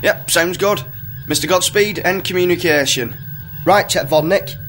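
A man's voice: sits high, normal speed, background noise, lightly acted. A man playing a brash, sarcastic spaceship captain in a comedy sci-fi radio play, with a bossy, flippant manner.

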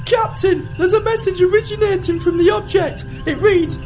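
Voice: extremely high pitch, normal speed. A performer playing a sardonic starship crew member in a comedy radio play. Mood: brisk, urgent. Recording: loud music behind the voice.

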